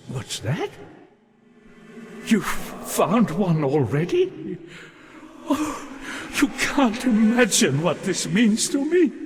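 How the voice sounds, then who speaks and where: Slow, swooping undead scholar. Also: male voice, a little high. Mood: overjoyed, tearful. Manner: formal, ornate, halting and earnest. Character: courteous, lonely undead male scholar, bookish and melancholy. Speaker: non-player character in a fantasy video game. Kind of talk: theatrical video game character acting. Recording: long reverberant hall, background hiss and ambience.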